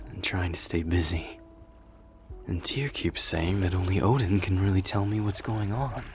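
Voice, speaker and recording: A man performs a brooding wolfish warrior, once a general, in an ASMR fantasy roleplay. The voice is very deep and unhurried, pensive and often sinking into a breathy murmur. Music plays clearly beneath it. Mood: troubled, confiding. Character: brooding male wolf warrior, former general, troubled and loyal. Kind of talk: ASMR roleplay, scripted character monologue.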